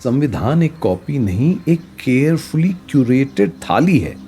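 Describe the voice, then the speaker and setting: Reflective professor, radio drama. Mellow, highly animated, emphatic explainer, faint music underneath. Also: male voice, a little slow. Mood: explanatory, enthusiastic. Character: thoughtful male political science professor explaining to a student. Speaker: political science teacher character. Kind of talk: radio play dialogue, Hindi mixed with English.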